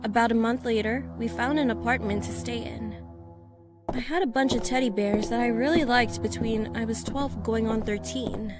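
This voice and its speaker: Higher-voiced young woman telling a scary story. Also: fairly even intonation, normal speed, music in the background. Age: 20s. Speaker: narrator. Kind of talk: scripted first-person horror narration.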